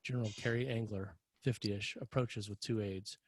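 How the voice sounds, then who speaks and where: Husky, low-voiced male screenplay narrator. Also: steady tone, moderate pace, no room sound. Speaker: narrator reading stage directions.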